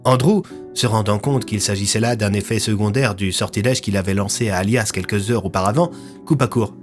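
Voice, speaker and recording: A man's voice: lowish voice, fairly quick, faint music behind. A man narrating a scripted audio fiction as its storyteller.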